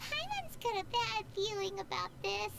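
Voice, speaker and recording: A tiny floating fairy companion chatters in an extremely high, squeaky voice. Her delivery is excitable and fretful, swinging widely in pitch, like a childlike video game sidekick. There is clear noise behind her. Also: normal speed, full character voice. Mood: nervous. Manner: fretful, exclamatory, speaks of herself in third person. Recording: game audio with background noise.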